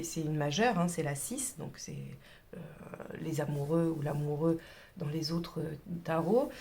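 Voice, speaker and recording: A woman's voice: fairly low pitch, a little mellow. Chatty woman with a cold, reading tarot. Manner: chatty, many hesitations, drops to whispers. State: has a cold. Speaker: tarot reader.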